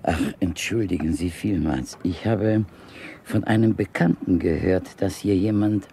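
An actor playing a polite, apologetic stranger at the door in a radio play, speaking hesitantly over background noise. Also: male voice, sits low, unhurried, dark tone. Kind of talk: German radio play dialogue.

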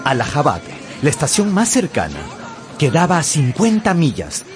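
A man narrating a radio drama in Spanish with deliberate, suspenseful storytelling. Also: fairly high pitch, wildly swooping pitch. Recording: music behind the voice.

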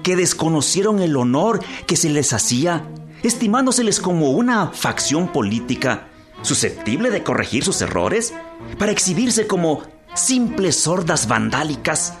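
An actor playing a stern government authority with a Mexican accent, formally declaiming a harsh decree in a radio docudrama. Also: male voice, a little high, sing-song, measured pace, over music. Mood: stern, condemning.

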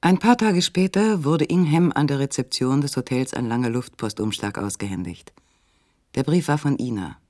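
A man narrating a literary radio play in a measured, sober manner. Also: mid-range voice, expressive, darkish tone, no echo, studio quality.

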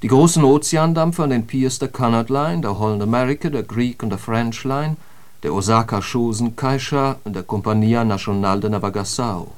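Man acting a polite philosopher type lecturing in a radio play, eager to explain, asks rhetorical questions, medium pitch. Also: fairly quick, natural voice. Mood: didactic, insistent. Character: courteous male philosopher-lecturer, eagerly dissecting riddles.

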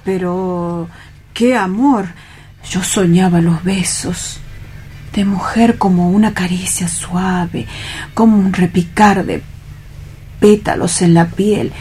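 A woman playing a timid, dreamy male clerk in a radio theatre reading, hesitant and anxious, with a husky, breathy voice and an accent from Spain. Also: fairly low pitch, steady tone, slow, dark tone, slight background noise. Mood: dreamy dread. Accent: Spanish (Spain). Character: timid, dreamy male bank clerk afraid of real love.

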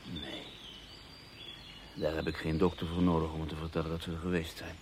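An actor playing a scheming, needling husband in a radio thriller, with a sardonic, goading manner. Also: male voice, rumbling low, flat delivery, a little fast, slight background noise. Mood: coldly calculating. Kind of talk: radio play acting.

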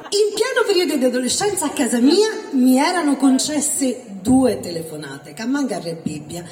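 A woman's voice: high-pitched, expressive, moderate pace, a little mellow, a faint crowd. A woman from Calabria, performing a theatrical, exclamatory stand-up monologue in a live venue. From Calabria, Italy. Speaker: stand-up comedian, actress. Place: live venue with ambience behind. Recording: echoey hall, faint noise.

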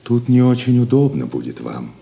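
A man's voice: mid-range voice, big pitch swings, moderate pace, creaky, mellow. A man playing a polite, deferential servant in an audio drama, obliging in manner. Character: deferential servant guiding guests to their beds. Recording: reverberant hall, slight noise.

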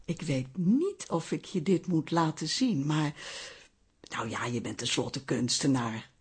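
An actress playing a chatty, daring elderly woman in a Dutch radio drama, coy and easily indignant, with a husky voice. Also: sits low, expressive, unhurried, full character voice.

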